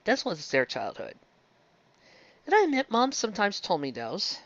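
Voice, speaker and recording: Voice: medium pitch, wildly swooping pitch, normal speed, a bit bright, no echo. Chatty, rambling reviewer, dull lo-fi sound. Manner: digressive, chatty, stumbles and restarts mid-phrase. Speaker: fan fiction reviewer. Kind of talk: casual rambling review monologue. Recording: dull lo-fi close dry recording.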